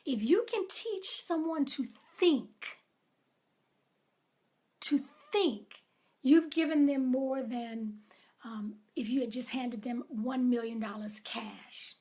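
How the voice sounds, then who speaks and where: A woman giving a reflective motivational talk, deliberate and emphatic, with drawn-out pauses and soft asides. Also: sits high.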